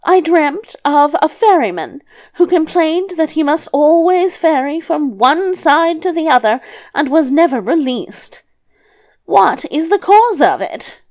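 Casting: female reader as elderly fairy-tale grandmother, high voice, sly, scolding yet soothing, drawn-out delivery. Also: expressive, a little mellow. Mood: plaintive coaxing. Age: older.

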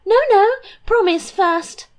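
A woman doing a giddy, excitable young princess. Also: slow. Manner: high, excited and breathless. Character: giddy, laughing young princess, whimsical and willful.

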